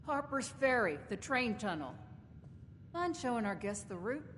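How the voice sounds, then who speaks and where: An actress playing a proud, shrewd caravan company boss in a video game, with a folksy, sardonic, wordy way of talking. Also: female voice, on the high side, very animated, measured pace, a bit bright, in a large hall, a little hiss or hum. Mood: businesslike. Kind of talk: video game character dialogue.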